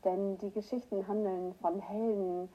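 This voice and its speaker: A woman with a cold hosting a casual morning livestream, greeting her viewers by name and clearing her throat often. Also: mid-range voice, little pitch movement, measured pace, muffled recording. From northern Germany. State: has a cold, hoarse at times. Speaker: livestream host. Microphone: new microphone that is not working right.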